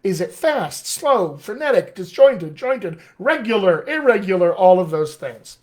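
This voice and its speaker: A middle-aged American man, a dialect coach, teaching a live online class in an animated, friendly way, often calling his viewers "my friends.". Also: high voice, a little slow. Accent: American English. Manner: animated, addresses viewers as "my friends", chuckles at own asides. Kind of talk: live-streamed teaching session.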